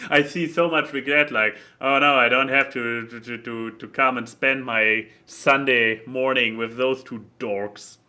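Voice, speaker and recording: Bright, punchy male podcast host. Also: mid-range voice, steady tone, measured pace. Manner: joking, teasing. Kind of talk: casual podcast banter.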